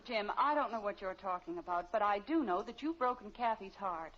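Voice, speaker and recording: An actress playing a patient, gently firm American wife and mother in a 1950s radio sitcom, with a calm, reasoning manner. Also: medium pitch, brisk, close to an everyday voice. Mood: gently reproachful. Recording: muffled old radio recording.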